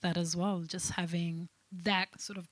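A woman's voice: on the low side, little pitch movement, unhurried, dry recording. A woman hosting a live podcast, easygoing and affirming as she steers the chat. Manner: easygoing, affirming, hands the mic to the audience. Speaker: podcast host.

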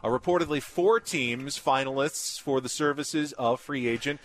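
A man's voice: medium pitch, moderate pace, slight background noise. A man with an American accent, hosting a conversational sports radio show and asking questions. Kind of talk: conversational sports talk and interviewing. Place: on location at a baseball spring training camp.

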